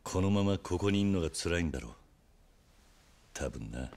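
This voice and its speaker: Very deep-voiced stoic yakuza. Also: male voice, lively intonation, darkish tone. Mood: resolute. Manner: terse, measured, grave. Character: stoic, protective middle-aged yakuza man, gruff and grave. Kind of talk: dramatic Japanese video game acting.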